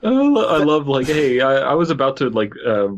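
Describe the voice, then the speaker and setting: A man on a podcast panel, joking casually in a halting, wry way. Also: mid-range voice, very animated, crowd noise.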